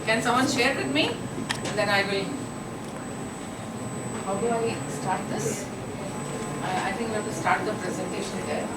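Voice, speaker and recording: A middle-aged woman giving a lively guest lecture to college students, chatty and engaging, often asking the audience questions. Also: mid-range voice, unhurried, long pauses. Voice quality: loud voice. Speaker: invited guest speaker. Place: college hall. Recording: echoey hall, far from mic, noisy.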